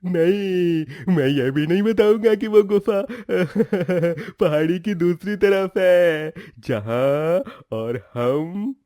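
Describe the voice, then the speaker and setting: A man's voice: high-pitched, extremely slow, hardly any pauses, dark tone, no room sound, studio quality, pitched above the performer's own voice. A man voicing a giggly, stubborn village madman who babbles and repeats himself erratically in a cartoon story. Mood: agitated, gleeful. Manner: erratic, repetitive, breaking into cackles.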